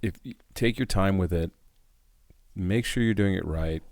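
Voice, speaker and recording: A man's voice: deep voice, moderate pace, a little mellow, no room sound. A middle-aged man co-hosting a podcast, agreeable and reflective in casual chat. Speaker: podcast co-host.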